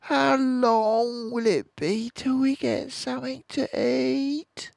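A man's voice: very high voice, fairly even intonation, slow, gravelly, dry recording, voice pushed up, exaggerated voice. A man reading a storybook aloud and voicing small, hungry fox cubs who plead and whine. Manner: pleading, whiny.

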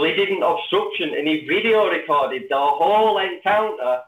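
Interview guest: man, 30s, halting delivery, narrow phone-like line, off the mic. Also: sits high, far from the mic. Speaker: interview guest recounting legal cases. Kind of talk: unscripted interview talk. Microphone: webcam or device mic on video call. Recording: echoey, phone-like video call from off the mic.

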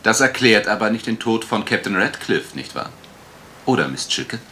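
A low, bright-voiced gentleman detective in a radio crime play. He questions politely but pushes relentlessly, with lively swings of tone, over faint background noise. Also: normal speed. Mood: polite, probing. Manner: insinuating, politely provocative questioning. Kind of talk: German radio crime drama acting.

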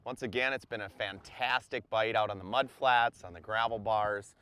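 Upbeat fishing guide, smooth low voice. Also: male voice, fairly even intonation, normal speed, sharp tone. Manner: upbeat, chatty, explaining as he fishes. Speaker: fishing guide and show host. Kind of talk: outdoor fishing report to camera. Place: open boat on a large lake, outdoors. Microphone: lapel mic.

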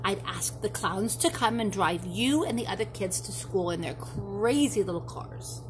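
A woman's voice: medium pitch, lively intonation, a little slow, music in the background, close to an everyday voice, rough recording. A woman voicing a patient, playful mother who reassures her child with thoughtful answers in a children's storybook. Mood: reassuring. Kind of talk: expressive children's storybook reading.

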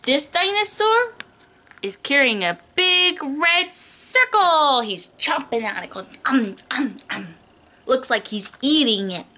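A woman's voice: high voice, big pitch swings, takes it slowly, sharp tone. A young adult woman, a preschool teacher doing a picture book read-aloud in an exaggerated, child-directed way, stretching key words.

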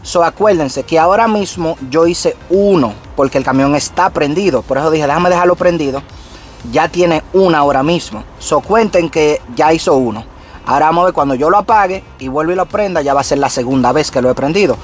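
A man's voice: on the high side, fairly quick, a little hiss or hum. A man in his thirties, a trucker vlogger, explaining step by step straight to his viewers from the cab of his parked truck. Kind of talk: casual how-to vlog. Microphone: camera on the dashboard facing him.

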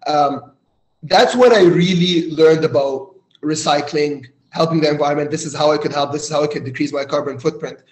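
A man from Egypt hosting a casual interview, phrasing things in a thoughtful, halting way. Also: fairly high pitch, darkish tone. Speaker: interviewer, host. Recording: roomy, echoey sound.

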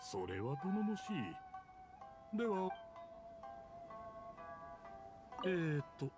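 An actor playing a deferential, officious clan retainer in an anime game, talking stiffly and politely. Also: male voice, high-pitched, very animated, measured pace. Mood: businesslike. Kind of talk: Japanese anime game dialogue. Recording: faint music behind.

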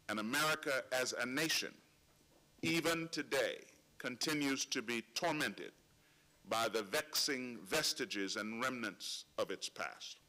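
A middle-aged man from Trinidad, seated at a panel table, delivering a prepared speech in measured, solemn tones with slow emphatic pauses into a table microphone. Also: medium pitch, sharp tone, low-quality recording. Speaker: conference speaker. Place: large conference hall, seated at a panel table.

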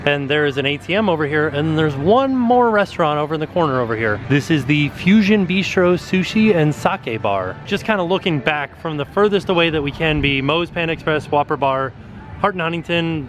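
A man's voice: a little high, expressive, a little fast, a bit bright, a little hiss or hum. A man narrating a walking tour of an outdoor shopping and dining area, chatty and pointing things out as he walks, recorded on a handheld camera. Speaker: vlogger giving a walking tour.

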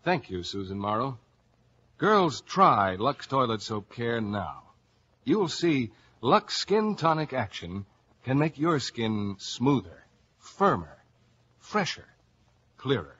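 A man's voice: medium pitch, big pitch swings, slow pace, fairly bright tone, slightly rough. A male radio announcer reading a 1950s commercial and station break, on a dull broadcast recording.

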